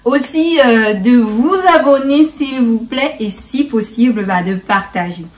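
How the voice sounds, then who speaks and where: A woman's voice: a little high, unhurried, distant mic. A woman hosting a casual beauty tutorial in a playful, chatty way, slipping bits of English into her French. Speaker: beauty vlogger, host.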